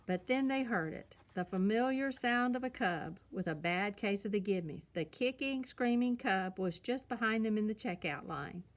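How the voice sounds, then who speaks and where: A woman's voice: mid-range voice, moderate pace, hardly any pauses. A woman narrating a children's storybook as a read-aloud storyteller.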